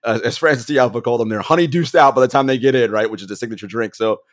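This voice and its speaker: A man co-hosting a sports podcast, telling stories in an animated, casual way and laughing often. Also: mid-range voice, very fast. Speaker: podcast co-host.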